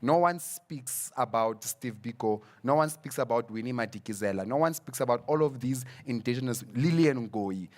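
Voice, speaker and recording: Casting: young man from south africa, english not his first language, earnest student panelist, stammers on repeats, mid pitch. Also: lively intonation, moderate pace, hardly any pauses. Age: young adult. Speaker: student representative. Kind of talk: panel discussion.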